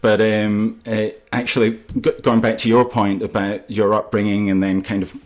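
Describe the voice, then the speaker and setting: A Scottish man, an author, talking about his writing in a festival interview, halting with many fillers and restarts. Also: lowish voice, level tone. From Scotland.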